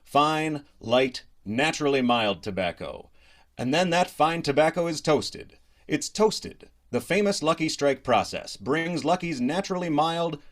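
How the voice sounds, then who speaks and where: Male announcer, hearty, emphatic, lively pitch, old-time radio ad. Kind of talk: 1950s radio commercial reading.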